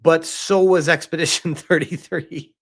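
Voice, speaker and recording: A man giving casual livestream commentary on gaming news as a streamer, informal and incredulous, with halting, emphatic phrasing and rhetorical questions. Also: a little high, steady tone, gravelly.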